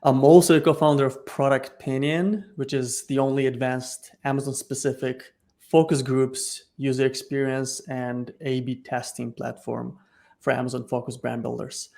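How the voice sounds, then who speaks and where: Ukrainian-accented man with a mellow voice. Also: mid-range voice, level tone, moderate pace, creaky. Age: 30s. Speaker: podcast guest. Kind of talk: casual business chat.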